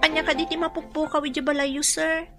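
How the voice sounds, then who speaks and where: A woman's voice: sits high, flat delivery, fairly quick, music in the background. A woman playing an anxious, dutiful young daughter who works as a housemaid, speaking with emotive, hesitant pleading in an Ilocano radio drama. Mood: distressed.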